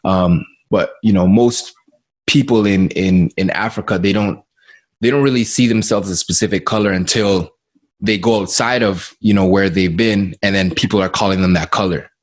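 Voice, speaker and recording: A man's voice: sits low, steady tone, normal speed. A man hosting a casual interview, asking questions hesitantly and often repeating his words. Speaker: interview host.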